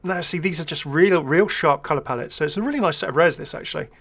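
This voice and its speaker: A man's voice: on the high side, fast talker, a bit bright. A man giving casual, rambling first-impressions commentary as a gaming video creator, chatty, enthusiastic and self-deprecating.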